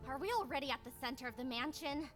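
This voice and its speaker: A stubborn, sharp-tongued teenage magical girl played by a woman, with a high, very bright voice. She sounds indignant and accusing in visual novel style, over faint music. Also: animated, fast talker. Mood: dry, dismissive. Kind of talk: visual novel character acting.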